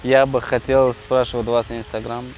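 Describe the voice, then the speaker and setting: A young man from Tajikistan with a Tajik accent, a street vlogger chatting with passers-by in halting Russian full of fillers and restarts. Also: medium pitch, level tone, a little mellow, a little hiss or hum, poor audio. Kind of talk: casual street chat and singing. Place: outdoors in a city park.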